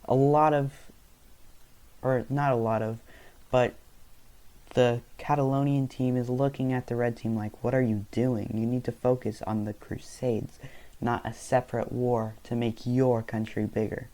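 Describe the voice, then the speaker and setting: A man's voice: mid-range voice, level tone, unhurried, warm tone. A young man, not a native English speaker, casually narrating a map video in a rambling way, often stopping to correct himself. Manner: rambling, self-correcting, loose storytelling.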